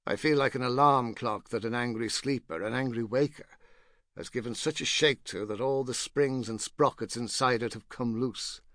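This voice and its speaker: A man's voice: medium pitch, moderate pace, fairly bright tone, no room sound, clean studio sound, lightly acted. A man narrating a literary audiobook as a rueful, self-mocking, pompous ex-painter nearing fifty, fussy and digressive in manner. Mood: brooding, rueful.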